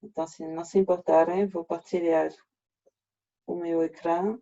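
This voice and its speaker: Halting man with a French accent, flat and dark. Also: on the high side. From France. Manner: halting, frequent restarts. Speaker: workshop presenter. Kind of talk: online presentation. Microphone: video call. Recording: dull lo-fi video call audio.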